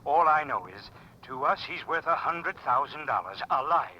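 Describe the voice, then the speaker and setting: A man's voice: fairly high pitch, expressive, close to an everyday voice. An actor playing an urgent, anxious male insurance company man on the telephone in a 1940s radio drama. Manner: urgent, hurried. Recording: thin, noisy telephone line on old radio.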